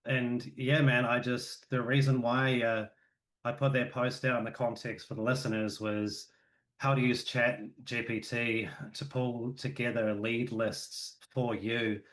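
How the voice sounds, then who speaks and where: A man from New Zealand with a New Zealand accent, chatting casually as a podcast guest, relaxed and full of fillers. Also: medium pitch, poor audio. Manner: relaxed, filler-heavy, with quick asides.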